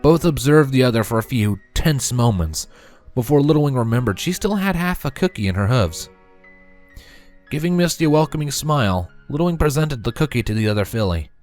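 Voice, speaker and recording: A man reads a story aloud as narrator. His mid-pitched voice moves in a lively, expressive way. Soft music plays behind him.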